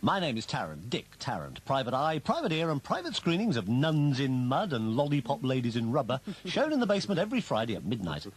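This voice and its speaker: A man's voice: mid-range voice, wildly swooping pitch, a little fast, hardly any pauses, a little hiss or hum. A man playing a wisecracking private eye in a comedy radio serial, narrating with puns and self-mocking jokes. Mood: wry.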